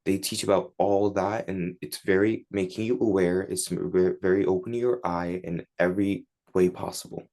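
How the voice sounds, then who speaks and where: A man's voice: low voice, steady tone, moderate pace, few pauses, a little mellow. A teenage man from Turkey, answering interview questions in casual, chatty English. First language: Turkish. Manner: chatty, many likes and you-knows. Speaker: guest.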